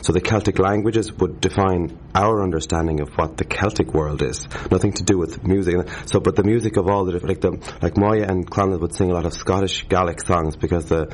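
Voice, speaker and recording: A man with a very low voice talks quickly about tradition and music in a casual interview. He rambles and restarts, carried along by his own enthusiasm. Faint background noise hums behind him. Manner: animated, rambling, many restarts. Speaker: harpist, interview guest.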